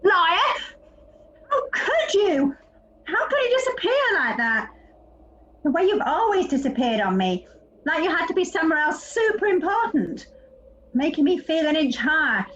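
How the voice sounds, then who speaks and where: Lady acting as bitter sarcastic sister, high voice swinging all over, slightly dull recording. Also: sing-song, moderate pace, a bit bright, exaggerated voice. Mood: hurt, furious. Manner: accusing, sarcastic, emphatic. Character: bitter, aggrieved, sarcastic woman quarrelling with her sister. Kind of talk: audio play acting.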